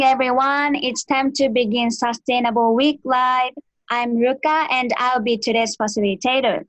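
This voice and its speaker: A young woman facilitating a student panel talk in English, with lots of soft murmurs of agreement. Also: a little high, dry recording. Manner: frequent soft backchannel murmurs and laughs.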